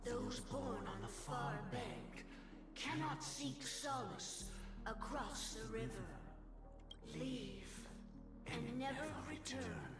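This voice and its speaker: A woman's voice: fairly high pitch, expressive. A woman voicing an ancient, disembodied, haughty ruler in a video game, speaking with solemn, formal menace. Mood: cold, grave. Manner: slow, formal, grandiose delivery. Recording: echoing voice under loud music.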